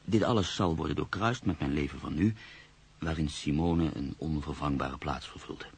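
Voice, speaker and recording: An actor playing a reflective, hesitant journalist and writer in his mid-thirties in a radio drama, speaking in a measured, literary way. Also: very deep voice, creaky, husky, full character voice. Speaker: actor narrating as the lead character. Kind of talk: radio drama monologue and dialogue.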